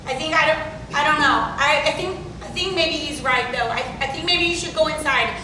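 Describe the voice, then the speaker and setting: Woman acting a strong-willed young mother and wife, high voice, insistent, stage drama reading, distant noisy room. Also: moderate pace, natural voice. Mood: hesitant, worried. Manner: pleading, firm, insistent. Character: fiercely loyal, strong-willed working-class wife and mother. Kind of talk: theatrical table reading of Southern Gothic tragedy. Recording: very echoey, distant room with rumbling noise.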